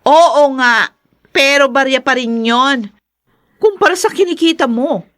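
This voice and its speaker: Coquettish high-voiced Filipina. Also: female voice, unhurried, fairly bright tone, no echo, clean studio sound. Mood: pouty, wheedling. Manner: flirtatious, wheedling, pouty. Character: coquettish, spendthrift woman, politician's pampered lover. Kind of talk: Filipino radio drama acting.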